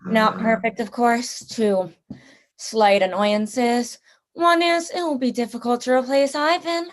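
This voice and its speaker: A woman acting a cold-blooded aristocratic hunter-general in a radio play. The delivery is very unhurried and rather high, with theatrical courtesy and smug menace. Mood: musing, smug. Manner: theatrical, leisurely, smugly courteous. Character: genteel, cold-blooded aristocratic general who hunts people for sport.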